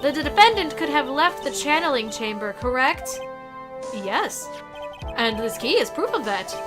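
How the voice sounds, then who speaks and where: A woman voicing an arrogant young female prosecutor who speaks with imperious scorn, over loud game music. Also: high voice, sing-song, measured pace. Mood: assertive. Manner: imperious, insulting, repeats "fool". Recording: game music playing loudly under voice.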